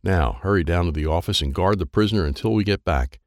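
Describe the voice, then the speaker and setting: Man acting a big gruff bossy uncle, low-voiced. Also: fast talker, no room sound, studio quality, close to an everyday voice.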